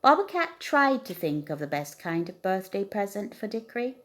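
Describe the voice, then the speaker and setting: A woman narrates a children's storybook at bedtime. Her mid-pitched voice moves expressively up and down, with very animated intonation throughout. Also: moderate pace. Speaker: storybook reader.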